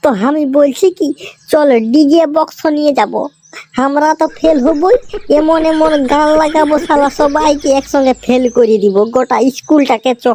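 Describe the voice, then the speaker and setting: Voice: sits high, steady tone, normal speed, background noise, close to an everyday voice. A performer voicing a brash, panicky teenage schoolboy in a comic cartoon, bickering in rustic slang with a Purulia accent from West Bengal. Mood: scheming. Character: panicky, foul-mouthed teenage village schoolboy facing his exam.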